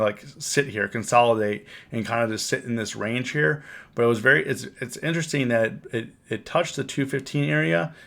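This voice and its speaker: A man with a gravelly, fry-laden voice, hoarse from a cold, talking through his trades on a live stream. He rambles and restarts, repeating words as he goes over his numbers. His pitch sits in the ordinary middle for a man. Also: a bit bright. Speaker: options trading live streamer. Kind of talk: casual live-stream market recap. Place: home, on camera.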